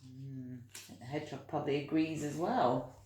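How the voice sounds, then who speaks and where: A woman reading a picture book aloud to young children, expressive, with chatty asides and gentle explanations. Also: very deep voice, moderate pace, slight background noise. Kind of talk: animated read-aloud storytelling with chatty asides. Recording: roomy, echoey room sound.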